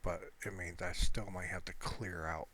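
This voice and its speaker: A man's voice: low voice, normal speed. A man giving casual, unscripted gameplay commentary, rambling and hesitant as he thinks aloud. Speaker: amateur gaming video creator. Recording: steady background noise.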